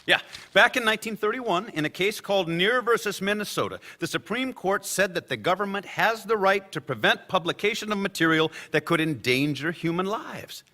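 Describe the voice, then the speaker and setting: An actor playing a cautious lawyer in a radio drama, arguing his legal points in a measured way. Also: sits high, bright, forceful tone. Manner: argumentative, lays out legal points.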